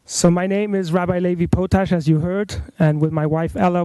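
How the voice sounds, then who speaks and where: A man with a high, mellow voice makes an earnest, measured appeal at a council meeting. He speaks as a rabbi into a podium microphone, and the sound is close and dry. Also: no room sound, low-quality recording. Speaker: rabbi, public commenter. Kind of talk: public comment at a council meeting. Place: council chamber.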